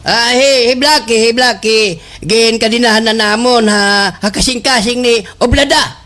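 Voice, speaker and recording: An actor with a Filipino Waray accent playing a fawning, excitable village captain in a slapstick radio comedy, stammering and exaggerated. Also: male voice, very high-pitched, measured pace, full character voice. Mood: nervous, fawning.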